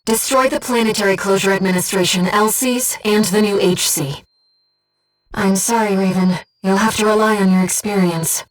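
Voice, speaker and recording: A woman voicing a gentle, composed, earnest disembodied female guide in a video game, speaking in a measured, softly formal and precise way. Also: mid-range voice, recorded from a distance, natural voice, poor audio. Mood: tender, solemn. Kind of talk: scripted video game voice acting, mission guidance. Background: music.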